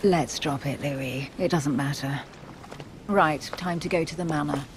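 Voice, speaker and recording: A woman playing a poised, flirtatious and enigmatic duchess in a video game, refined and teasing. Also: measured pace. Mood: coolly evasive. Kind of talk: video game cutscene acting. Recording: constant rumble behind the voice.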